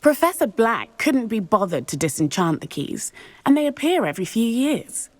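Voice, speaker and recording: Lively, chatty student witch. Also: a little high, moderate pace, dry recording. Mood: eager, gossipy. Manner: animated, explanatory. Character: chatty, eager teenage witch student sharing school rumours. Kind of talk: video game voice acting.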